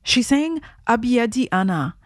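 A woman host giving measured, scripted documentary narration. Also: fairly high pitch, expressive, no echo, clean studio sound.

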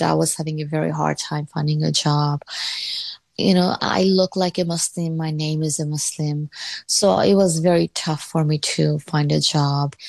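Low, creaky-voiced woman from Bangladesh. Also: a little mellow, no room sound. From Bangladesh, moved to New York at eleven. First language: not English. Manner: often punctuates with "you know". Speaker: interviewee. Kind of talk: personal storytelling, conversational.

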